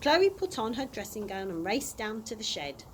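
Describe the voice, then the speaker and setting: Female storybook reader, high and lively, expressive delivery for children, audible background noise. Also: normal speed. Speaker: early years practitioner reading to children. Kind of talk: expressive storybook reading aloud.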